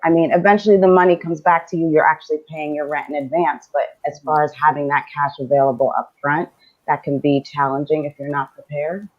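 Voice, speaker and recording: A woman with a low voice speaks easily on an online panel, filling pauses with ums and laughing easily. The call audio is dull and lo-fi. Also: fairly even intonation. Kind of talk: casual panel talk. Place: home in Lisbon, video call.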